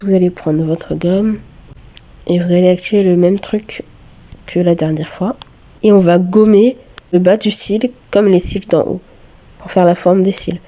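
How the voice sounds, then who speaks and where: A woman with a cold giving a step-by-step drawing tutorial, talking hesitantly with many filler pauses. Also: on the low side, fairly even intonation, warm tone, highs cut off. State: has a cold. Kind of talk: screen-recorded step-by-step tutorial.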